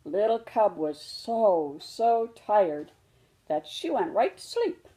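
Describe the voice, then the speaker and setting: A woman reading a children's storybook aloud, expressively and deliberately for young listeners. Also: mid-range voice, sing-song, mellow, poor audio. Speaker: storybook reader. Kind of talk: children's read-aloud narration.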